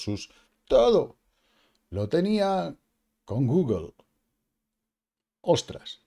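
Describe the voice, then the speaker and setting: A man's voice: mid-range voice, dark tone. A man in his fifties with a Peninsular Spanish accent, hosting a casual crypto explainer from a small room, chatty and emphatic with tag questions and mild swearing. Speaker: crypto channel host.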